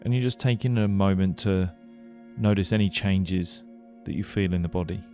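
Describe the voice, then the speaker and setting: A man leading a guided meditation, giving gentle, unhurried instructions with pauses. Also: low-pitched, fairly even intonation. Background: music.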